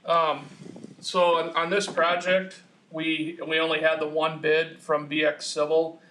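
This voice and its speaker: A man formally presenting a report to a commission in a measured, businesslike, somewhat halting way. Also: a little high, completely flat delivery, sharp tone, a little hiss or hum, poor audio. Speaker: project engineer presenting to a commission.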